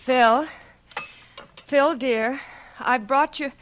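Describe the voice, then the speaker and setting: An actress playing a scheming wife who feigns wan, gentle grief in a 1940s radio suspense drama, with dramatic, faltering phrasing. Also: female voice, high voice, sing-song, drawn-out words, noisy background, full character voice. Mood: shaken, distressed. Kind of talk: 1940s radio suspense acting and first-person narration. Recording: vintage 1940s radio broadcast, music underneath.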